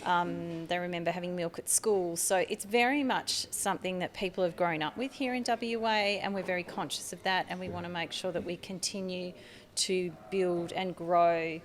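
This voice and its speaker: Australian woman, middle-aged, earnest podcast guest, lower register, faint hiss behind. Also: moderate pace. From Tasmania, Australia. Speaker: marketing manager guest. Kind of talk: podcast interview.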